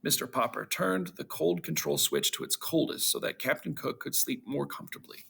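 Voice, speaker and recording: Animated man reading a storybook, husky voice. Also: on the high side, moderate pace. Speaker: story reader for schoolchildren. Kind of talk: animated storybook read-aloud narration.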